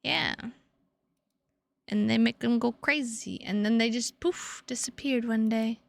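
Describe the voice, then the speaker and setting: A tired woman chatting casually to her livestream viewers in a halting, rambling way with drawn-out words. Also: on the high side, creaky. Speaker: streamer.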